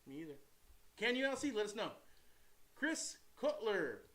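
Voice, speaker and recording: A man with a bright, high voice co-hosts a casual talk show. He reads viewer questions aloud and chimes in with short agreeing words. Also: big pitch swings, unhurried. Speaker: co-host reading viewer questions. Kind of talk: casual chat.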